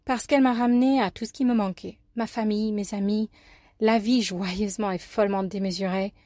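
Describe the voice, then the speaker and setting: A woman from the United States with an American accent, giving a scripted, expressive personal talk as a life coach and columnist. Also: mid-range voice, a little fast, dry recording, studio quality. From United States, New York. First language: English.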